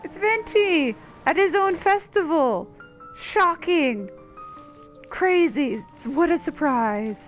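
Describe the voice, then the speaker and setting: A woman's voice: very high voice, expressive, slow pace. A woman giving casual let's-play commentary, with playful, wry asides. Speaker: gaming video host. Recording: slightly dull lo-fi mic. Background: faint game music.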